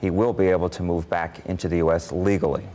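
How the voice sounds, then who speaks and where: A man's voice: sits low, level tone, quick pace, warm tone, a little hiss or hum. A man working as a television reporter, giving a live news report.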